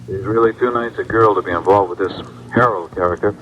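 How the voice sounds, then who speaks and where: An actor playing an earnest, matter-of-fact detective making a report in a 1970s radio mystery. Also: male voice, sits low, steady tone, a little fast, a bit bright, highs cut off. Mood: businesslike. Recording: vintage radio broadcast, faint noise.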